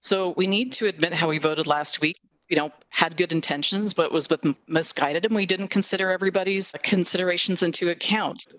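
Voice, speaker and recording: Higher-pitched man with a bright, forceful voice. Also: brisk, dry recording, low-quality recording. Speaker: city councillor. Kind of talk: formal meeting remarks.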